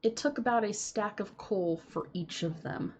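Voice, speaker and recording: A woman's voice: mid-range voice, normal speed, a little mellow, a little hiss or hum. A woman with a cold, sounding sniffly and nasal, chatting casually and apologetically through a game stream from home. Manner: chatty, apologetic, with frequent ums. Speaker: Minecraft streamer. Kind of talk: casual gameplay stream chat. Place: home, with keyboard and computer sounds.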